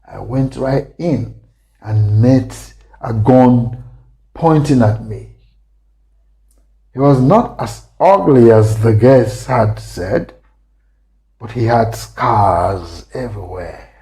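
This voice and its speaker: A man voicing a bold, calm, vengeful girl recounting her past in slow, deliberate, halting phrases, as in dramatic storytelling. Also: mid-range voice. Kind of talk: dramatic first-person storytelling.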